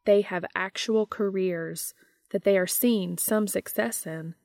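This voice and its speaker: A woman's voice: medium pitch, fairly even intonation, slow, gravelly, a little mellow. A woman hosting a podcast, reading a story aloud in scripted audiobook-style narration. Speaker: podcast host reading fan fiction aloud.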